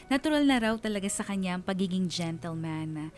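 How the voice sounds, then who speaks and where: A woman's voice: on the low side, lively intonation, a little fast, a little mellow, natural voice. A woman playing a lovestruck young woman confiding her story in dramatic narration, with a Filipino accent. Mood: wistful, puzzled. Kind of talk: scripted dramatic first-person narration.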